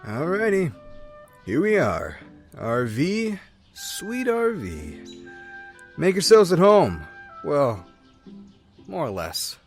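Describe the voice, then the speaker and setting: A man's voice: a little high, huge pitch swings, takes it slowly, pauses often, soft background music, full character voice. A man voicing an easygoing young male rat drifter who talks in a laid-back, friendly, chatty way in a fan comic dub.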